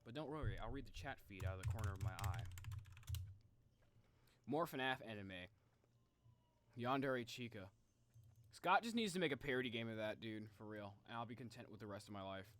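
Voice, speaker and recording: A man's voice: medium pitch, expressive, brisk, pauses often, a bit bright, a little hiss or hum. A man doing casual, rambling livestream commentary as he reacts to game cutscenes in an informal way.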